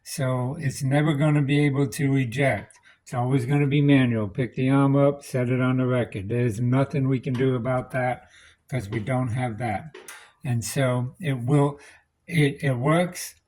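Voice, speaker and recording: A man with a mid-pitched voice presents a repair in an offhand, unscripted way. He is plain-spoken and practical, working out his thoughts aloud as he goes. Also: fairly even intonation, a little slow, darkish tone, rough recording. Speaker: electronics restorer presenting a repair demonstration. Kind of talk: casual unscripted demonstration.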